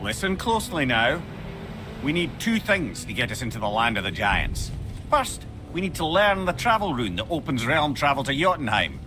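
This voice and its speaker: Chatty, wry older Scottish sage, a talking severed head male actor, very lively pitch, game dialogue over clear background noise. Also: moderate pace, lightly acted. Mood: explaining. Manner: chatty, rambling storyteller, wryly humorous. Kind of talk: video game character dialogue.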